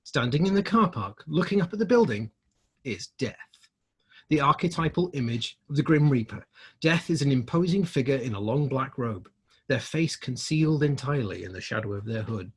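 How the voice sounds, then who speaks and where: A man with a husky voice narrating a screenplay in a dramatic read-through. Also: medium pitch, animated, normal speed. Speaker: script reader.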